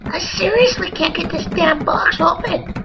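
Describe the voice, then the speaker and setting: A woman doing a squeaky childish monkey. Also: normal speed, creaky, very noisy. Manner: impulsive, whiny, easily distracted. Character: childish excitable young male monkey. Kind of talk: amateur old-time radio parody. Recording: muffled lo-fi audio with music.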